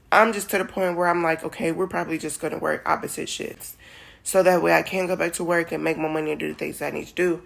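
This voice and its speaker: A woman around thirty chatting in a casual vlog, rambling and emotional, tearful at times, in a relaxed style. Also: on the low side, creaky. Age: 30s. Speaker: vlogger.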